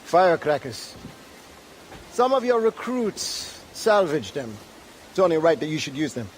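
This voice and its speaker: An actor playing a proud, principled Maroon rebel leader with a Haitian Creole accent, speaking in a measured, earnest way. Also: male voice, fairly high pitch, big pitch swings, a little hiss or hum. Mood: encouraging. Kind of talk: dramatic video game acting.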